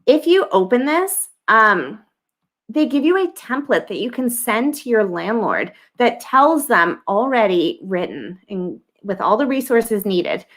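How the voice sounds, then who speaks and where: A woman's voice: on the high side, big pitch swings, measured pace, a bit bright. Woman host with a scratchy throat. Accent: American. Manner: chatty, thinks aloud with many ums. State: scratchy throat, clearing it often. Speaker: livestream host answering viewer questions. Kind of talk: casual live Q&A.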